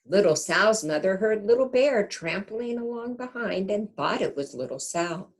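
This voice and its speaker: A woman reading a storybook aloud to young children in an expressive teacher's manner, pausing to check in with the listeners. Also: mid-range voice.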